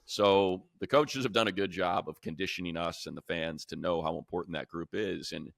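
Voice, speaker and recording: A man's voice: low-pitched, moderate pace, gravelly, a bit bright, dry recording, studio quality. An American man hosting a sports show, talking through analysis casually and emphatically, repeating points to drive them home. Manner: emphatic, conversational, builds points by repetition. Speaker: sports show host, play-by-play announcer.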